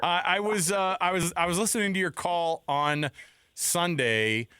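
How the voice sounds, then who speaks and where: A man's voice: high-pitched, unhurried, bright, forceful tone, clear, resonant tone, no room sound. An American man hosting sports radio, talking casually in a bantering, effusive way on a close studio mic. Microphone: close studio microphone.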